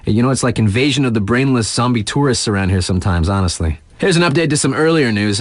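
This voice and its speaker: A man's voice: medium pitch, no echo, natural voice. A man acts out a wisecracking male radio DJ from a video game. His mid-range voice swings freely up and down through breezy, mock-serious local news. The sound is tight and dry, with a casual, wry delivery. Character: laid-back, wisecracking male mountain radio DJ. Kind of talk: breezy radio news bulletin, ad-libbed asides.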